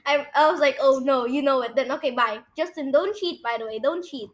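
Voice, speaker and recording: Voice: high-pitched, fairly quick. A young-sounding podcast host chatting casually through a guessing game.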